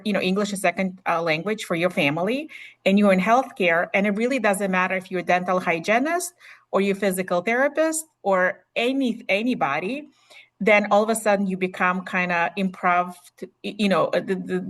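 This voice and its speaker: A woman's voice: mid-range voice, a bit bright. Chatty Ukrainian-accented woman presenter. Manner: chatty, with many fillers and restarts. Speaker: nurse practitioner presenting a webinar. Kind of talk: webinar presentation.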